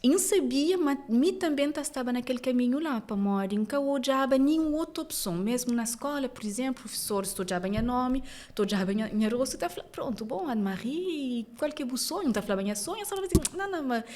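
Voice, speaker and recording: A woman from France with a Cape Verdean accent, a journalist telling her story as a podcast guest in lively conversation. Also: high voice. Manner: animated storytelling, quick laughs. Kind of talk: casual interview conversation.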